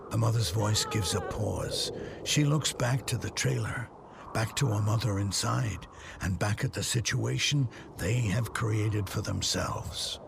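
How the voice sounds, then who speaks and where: A man's voice: on the low side, measured pace, vocal fry, very noisy. A man giving dramatic scripted narration for an audio drama.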